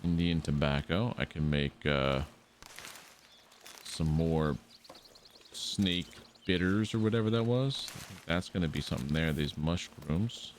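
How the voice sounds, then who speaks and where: A man's voice: very deep voice, measured pace, slight background noise. A man giving casual, playful live game commentary, often talking to himself as he plays. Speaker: game streamer. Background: video game ambience, horse hoofbeats.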